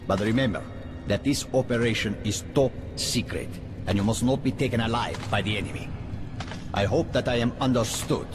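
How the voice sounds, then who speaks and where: A man's voice: medium pitch, fairly quick, lightly acted. An actor playing a stern general briefing his soldiers in a commanding tone. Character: stern male army general giving orders. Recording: game cutscene with loud music.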